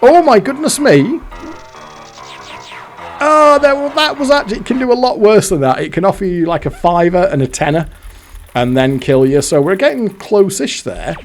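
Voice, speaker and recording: A man's voice: high voice, expressive, normal speed, soft background music. A man giving casual, exasperated commentary while playing a fruit machine, swearing now and then. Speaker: slot machine video host.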